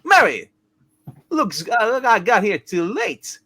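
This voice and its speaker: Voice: mid-range voice, very animated, takes it slowly, bright, forceful tone, exaggerated voice. A performer playing a boisterous, jokey small-town character in a hammed-up amateur radio play reading, with a clownish, exclamatory manner. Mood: cheery.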